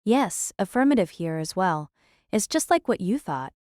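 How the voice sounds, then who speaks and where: A woman's voice: mid-range voice, very animated, normal speed, a little mellow, dry recording, clean studio sound, lightly acted. A woman playing a sniper's partner, giving terse, businesslike reports. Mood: alert.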